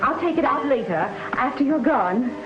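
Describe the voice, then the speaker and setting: A woman's voice: high-pitched, animated, normal speed. An American actress playing a fretful, proper middle-aged mother in a 1950s TV western, prim and easily flustered. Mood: prim, uneasy. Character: fretful, proper mother newly settled out West. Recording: dull old TV soundtrack, music behind.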